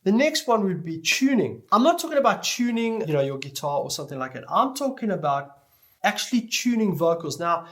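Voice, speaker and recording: A man giving an explanatory tutorial lecture as an instructor, speaking deliberately and stressing key words as he goes. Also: sits high, sing-song, fairly bright tone. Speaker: music production instructor.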